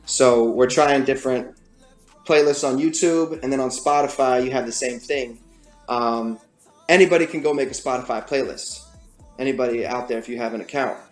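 A man with a raspy voice, a guest musician talking casually in an interview, with many fillers and restarts. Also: mid-range voice, moderate pace, reverberant, soft background music.

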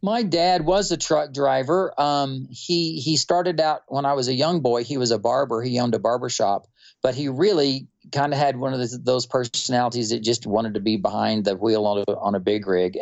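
A man from North Texas with a Texan accent, telling stories in a relaxed, unhurried interview with many fillers. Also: mid-range voice, vocal fry, dull audio. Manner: unhurried, rambling storyteller with fillers. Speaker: quilter and musician guest.

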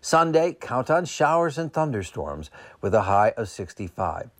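A male radio announcer delivers scripted narration in a medium-pitched voice. His intonation is lively and moves widely up and down as he reads. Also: moderate pace. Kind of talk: scripted narration, radio weather and saint of the day.

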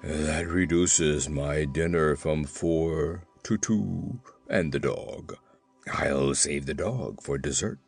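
A man voicing a huge, hungry, man-eating giant in a storybook, greedy and mock-sorrowful, with a slow, wheedling, rumbling delivery. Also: gravelly, breathy edge, faint music behind, heavily acted. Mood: disappointed.

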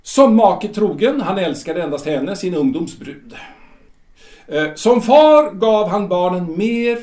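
A wry male guide to the dead, played by a man for an audio drama, who probes and reads out verdicts with measured pauses. His voice sits high and swoops lively across its range. The recording is roomy and echoey. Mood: probing. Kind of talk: amateur audio drama acting. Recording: echoey room.

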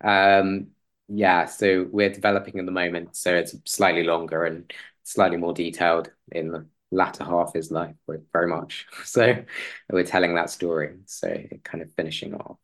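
Low-voiced man, chatting informally. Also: flat delivery, normal speed, fairly bright tone. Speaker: podcast guest, playwright. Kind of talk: casual chat.

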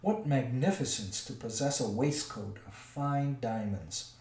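A timid little hobbit, polite and anxious, played by a man reading a storybook aloud. His voice rises into a slight squeak as he flatters. The sound is roomy and comes from a bit off the mic. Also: a little slow, far from the mic, close to an everyday voice. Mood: flattering. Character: small timid male hobbit, nervously flattering. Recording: echoey room, voice set back from mic.

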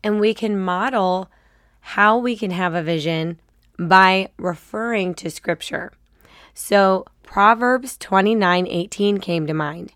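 A woman hosting a casual faith and style podcast, slightly raspy from a cold, upbeat and encouraging. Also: medium pitch, slow, fairly bright tone, dry recording, studio quality. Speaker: podcast host and style coach.

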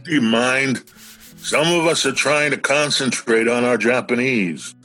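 A man's voice: medium pitch, measured pace, sharp tone, soft background music. An actor playing an eccentric, philosophical man who is cheerfully weird, in a whimsical, musing radio play manner. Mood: musing, grand. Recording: home-recorded virtual radio play.

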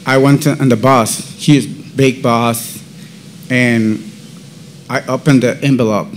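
A man speaking in unhurried, careful phrases, his Central American Spanish accent strong and his English halting. He recounts a personal faith testimony at a mid-range pitch. Faint noise sits under the voice. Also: fairly even intonation. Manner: halting, non-native English; slow, deliberate. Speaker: podcast guest.

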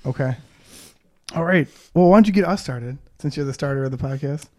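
A man's voice: medium pitch, a little fast, mellow. A man co-hosting a casual podcast interview, teasing his guests and joking often. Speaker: podcast co-host.

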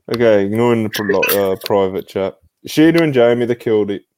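A man's voice: mid-range voice, background noise. A man with a British accent hosting a casual podcast on a video call, reading out comments with a laid-back, laughing manner. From England. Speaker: podcast host. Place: home setup on a video call.

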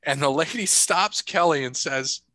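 Guy hosting a chat show, rambly storyteller, bright clear voice, dead dry sound. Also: fairly high pitch, moderate pace, no echo. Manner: rambling storyteller, laughs often. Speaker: podcast host. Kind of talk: casual chat.

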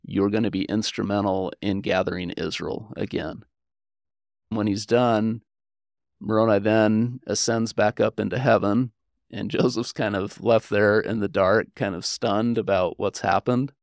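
A man's voice: on the low side, fairly even intonation, measured pace, creaky, no room sound, studio quality. A man speaking as a historian guest, explaining religious history in a conversational way.

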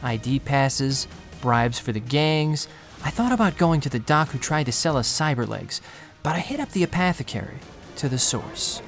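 A male actor plays an earnest young man from a poor undercity, narrating his own story in an audio drama. His mid-pitched voice is halting, confessional and full of self-doubt and feeling. Music plays beneath him. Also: expressive, close to an everyday voice. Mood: weary narration. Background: music bed.

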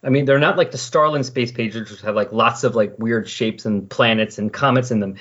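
Husky-voiced man chatting about comics. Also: medium pitch, moderate pace, a bit bright. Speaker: comics podcast host. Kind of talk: casual chat about comic books. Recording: dull, lo-fi recording.

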